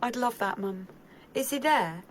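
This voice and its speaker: A woman's voice: a little high, lively intonation, unhurried, slight background noise. An actress in a radio drama playing a shaken young woman who talks in a weary, evasive way on a call to her mother. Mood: subdued. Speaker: sound researcher calling her mother.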